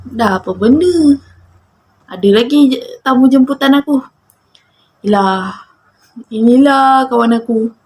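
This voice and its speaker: A woman's voice: fairly high pitch, unhurried, pauses often, a little mellow, low-quality recording. A woman in her twenties with a Malaysian Malay accent, chatting casually to her viewers while rambling and getting distracted by her cat. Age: 20s. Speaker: vlogger. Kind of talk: casual chat to camera.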